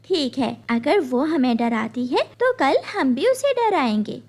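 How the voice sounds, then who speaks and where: Woman playing a determined, high-voiced mother bird. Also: very animated, a little slow, darkish tone, heavily acted. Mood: reassuring, determined. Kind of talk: children's cartoon dialogue.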